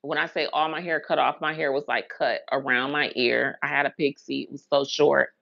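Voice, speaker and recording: A woman's voice: rumbling low, steady tone, normal speed, creaky, a bit bright, no room sound, lo-fi sound. An American woman chatting casually on a livestream, telling rambling personal stories in a colloquial way and repeating words for emphasis. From Huntsville, Alabama and Brooklyn, New York, USA. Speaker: livestream host, hairstylist.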